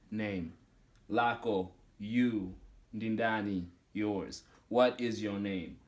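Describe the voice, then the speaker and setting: A young man teaching a language lesson at a whiteboard, speaking slowly and repeating each phrase with its meaning, recorded indoors at home. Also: lowish voice. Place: small indoor room at home.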